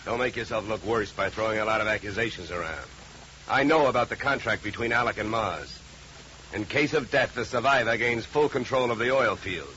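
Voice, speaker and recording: An actor playing a shrewd, wisecracking private detective in clipped, sardonic 1950s radio style. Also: male voice, lowish voice, a little fast, pushed and bright. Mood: shrewd, confident. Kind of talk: 1950s hardboiled radio acting. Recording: dull, hissy 1950 radio recording.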